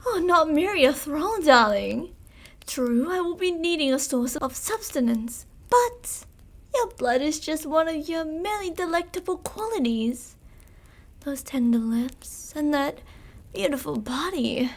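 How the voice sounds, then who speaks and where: A woman's voice: sits high, animated, measured pace. A woman playing a sadistic, possessive, seductive vampire commander in an ASMR roleplay, speaking theatrically and tauntingly with terms of endearment. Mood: silky, possessive. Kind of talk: ASMR roleplay, dramatic monologue.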